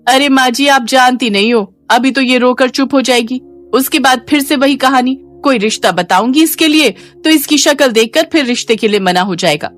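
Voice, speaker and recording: Woman voicing a sharp, taunting sister-in-law, higher-pitched. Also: moderate pace, soft background music. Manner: taunting, nagging. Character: scornful, sharp-tongued young sister-in-law, complaining.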